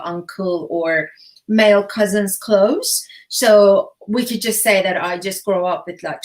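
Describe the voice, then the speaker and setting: A woman in her forties from Turkey speaks English as an in an earnest, searching way, pausing on fillers and circling back as she explains. She talks as a relationship coach in a relaxed online chat, her voice sitting at an ordinary middle pitch. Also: poor audio. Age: 40s. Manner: earnest, thinks aloud with fillers and restarts. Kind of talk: casual chat.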